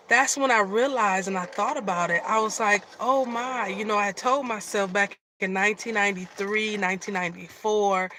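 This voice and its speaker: A middle-aged woman from Michigan with a slightly raspy voice, chatting casually on a live stream and often restarting her sentences. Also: mid-range voice, sharp tone, a little hiss or hum. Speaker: vlogger.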